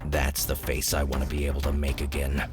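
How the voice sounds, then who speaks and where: A man's voice: very deep voice, monotone, fairly quick, voice pushed down. A male narrator voicing a hard-pressed young hero who mutters tensely to himself. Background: background music.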